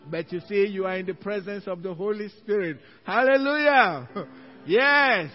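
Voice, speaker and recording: A Nigerian-accented male pastor with a notably high voice preaches to his church, quoting scripture emphatically and breaking into chuckling asides. The recording is dull and lo-fi. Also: animated, normal speed, soft background music. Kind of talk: evening church sermon. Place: church sanctuary.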